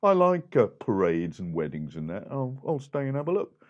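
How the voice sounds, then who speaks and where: A greedy storybook cat, acted out by a male storyteller, quick to take offence. The pitch swings wildly between ordinary talk and high outrage. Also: big pitch swings, a little mellow, dull audio, lightly acted. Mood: calm, curious.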